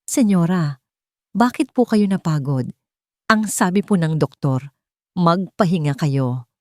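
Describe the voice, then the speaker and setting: A woman's voice: on the low side, animated, a little slow, mellow, no echo, clean studio sound. A woman voicing a worried, respectful longtime housemaid who speaks hesitantly in a narrated drama. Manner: hesitant, deferential.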